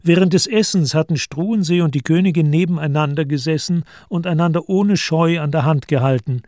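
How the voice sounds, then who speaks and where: A man narrating a German radio play as scripted literary storytelling, in a measured and deliberate manner. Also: on the high side, a little mellow, no echo, clean studio sound.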